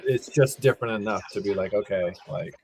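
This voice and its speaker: A man's voice: lowish voice, a little fast, vocal fry, darkish tone, slight background noise. A man co-hosting a casual watch-party livestream, easygoing and filler-heavy as he reads out chat.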